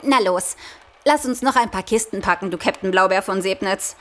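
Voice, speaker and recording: A woman's voice: mid-range voice, normal speed, fairly bright tone, close to an everyday voice. Woman acting a wry, teasing companion. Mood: wry, fond. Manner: wry, ironic, conversational. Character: teasing, sceptical female companion of a storyteller. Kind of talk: radio play dialogue.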